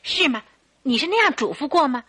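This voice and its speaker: An actress playing an agreeable, opinionated housekeeper in a radio drama, emphatically siding with the detective. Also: female voice, high voice, sing-song, fairly quick, sharp tone, heavily acted. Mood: eager, agreeing.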